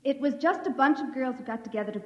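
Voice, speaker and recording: A woman's voice: sits high, fast talker, lo-fi sound, natural voice. An actress playing a stubborn young woman witness, terse and defiant, in a 1950s radio drama. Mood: curt. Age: young adult. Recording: echoey, noisy old radio broadcast.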